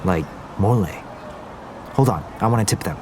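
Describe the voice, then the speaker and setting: Actor as earnest young man, lowish voice. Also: expressive, normal speed, noisy background, lightly acted. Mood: fond. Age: young adult. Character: earnest, smitten young Korean businessman, gently wry. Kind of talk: naturalistic fiction podcast acting.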